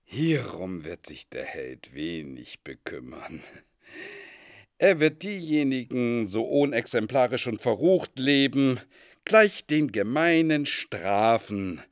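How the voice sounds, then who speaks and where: Bombastic, swooping self-styled god Jupiter. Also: male voice, medium pitch, very animated, slow, no echo, clean studio sound. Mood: prophetic, commanding. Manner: declamatory, bombastic, solemn. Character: pompous madman who believes he is Jupiter, grandiose prophet. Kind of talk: theatrical literary radio drama in archaic German.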